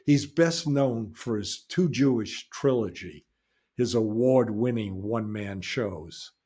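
A man's voice: fairly low pitch, takes it slowly, dark tone, husky. A male podcast host reading a formal, scripted introduction of his guest, then asking questions. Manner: formal, announcer-like delivery.

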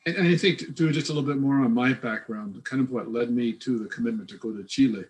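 An older man from rural Kansas, speaking as an interview guest in a reflective, halting way with many fillers. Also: medium pitch. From rural north central Kansas, USA. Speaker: guest, former lay missioner. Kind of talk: conversational interview.

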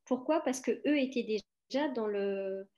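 Hesitant, somewhat high-voiced woman. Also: moderate pace, dark tone, muffled recording. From France. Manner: hesitant, many fillers and restarts. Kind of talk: casual conversation.

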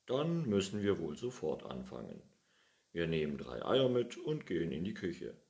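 A man's voice: low voice, wildly swooping pitch, normal speed, gravelly, heavily acted. A man voicing an absent-minded, tidy old farmer who grumbles and scolds his cat in a storybook reading. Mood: grumbling. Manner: loud, grumbling, muttering hm.

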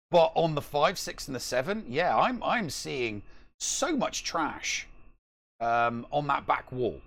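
Male gaming podcaster, gravelly fry in the voice, conversational, leans on repetition to make points. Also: a little high, fairly bright tone. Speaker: podcast co-host. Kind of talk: casual gaming podcast chat.

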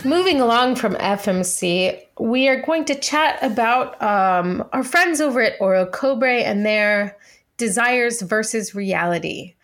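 Higher-voiced woman co-hosting a podcast. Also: animated, unhurried, a bit bright, faint music behind. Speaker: podcast co-host.

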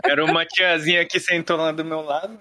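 A man's voice: a little high, flat delivery, brisk, sharp tone, faint music behind. A man who is a podcast guest, talking casually and teasing himself. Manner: sheepish, self-mocking. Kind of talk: casual chat. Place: lives in Japan.

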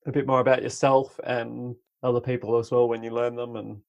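A man's voice: medium pitch, flat delivery, fairly quick, gravelly, a little mellow. A man chatting casually and enthusiastically, rambling a bit and laughing often. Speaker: conversation partner. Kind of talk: casual chat.

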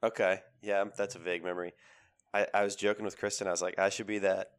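Low-voiced man with a cold. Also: fast, clear, resonant tone. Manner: animated, emphatic with repeated phrases. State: has a cold, voice lower than usual. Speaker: podcast co-host. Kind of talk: casual sports chat.

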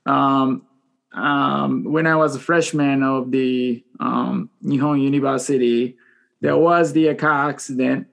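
Japanese-accented man telling his story, halting. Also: medium pitch, steady tone, creaky, a bit bright. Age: 40s. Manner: halting, self-deprecating, joking. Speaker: podcast guest. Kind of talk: casual personal storytelling in an interview.

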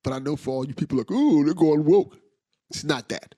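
Male host, casual and eager, slightly higher voice, stumbles and repeats as he gushes; dry, close mic. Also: a little fast, no echo. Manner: enthusiastic, relaxed, repeats words while thinking. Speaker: podcast host. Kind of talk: casual podcast interview.

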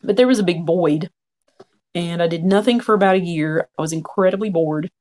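A man's voice: high voice, fairly quick, a little mellow. A man in his forties, a podcast guest, telling his story in a frank, earnest conversational way. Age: 40s. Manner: frank, earnest, quotes own past thoughts.

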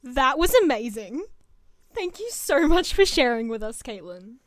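High, lively teenage girl hosting. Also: measured pace. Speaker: student podcast host. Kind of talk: podcast presenting.

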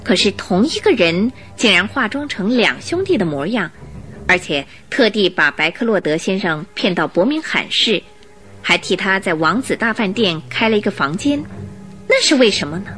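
Expressive woman narrating a radio drama. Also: medium pitch, moderate pace, faint music behind. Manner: expressive storyteller phrasing.

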